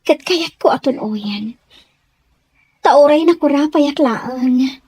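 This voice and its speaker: A woman's voice: high-pitched, takes it slowly, dark tone. A woman playing a humble, earnest young house servant in an Ilocano radio drama, with an Ilocano accent. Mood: hesitant, pleading. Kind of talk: Filipino radio drama acting.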